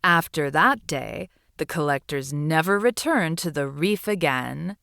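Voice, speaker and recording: A woman narrates a children's bedtime story at a very slow, deliberate pace. Her slightly low voice rises and falls expressively, with a soothing storyteller's delivery in a dry, close recording. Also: expressive, gravelly, a bit bright, no room sound.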